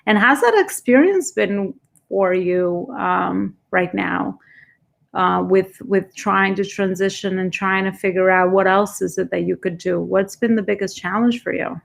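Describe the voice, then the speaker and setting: A woman's voice: medium pitch, measured pace, creaky. A woman hosting a podcast interview, talking in a rambling, encouraging way with many fillers. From immigrant, came at fifteen. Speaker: podcast host. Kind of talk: casual interview chat.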